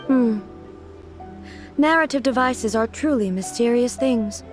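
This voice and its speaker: Woman playing a stiff formal goddess ruler, highish voice, calm and measured, game music under it. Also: lightly acted. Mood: earnest. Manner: calm, measured and literal-minded. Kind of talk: video game dialogue.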